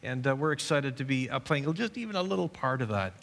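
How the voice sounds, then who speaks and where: A man's voice: medium pitch, big pitch swings, fast, fairly bright tone. Earnest man speaking in a church hall. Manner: earnest, repeats and restarts words. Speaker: pastor. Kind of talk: church service introduction.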